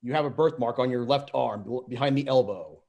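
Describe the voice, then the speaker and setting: A man voicing a creepy, persuasive stranger in a screenplay reading, measured and insistent. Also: medium pitch, vocal fry, a little mellow, close to an everyday voice.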